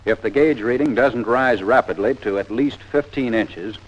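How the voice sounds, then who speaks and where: A man's voice: mid-range voice, normal speed. An American man narrating a 1940s instructional training film in a plain-spoken, methodical, step-by-step way. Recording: dull, lo-fi 1940s film soundtrack.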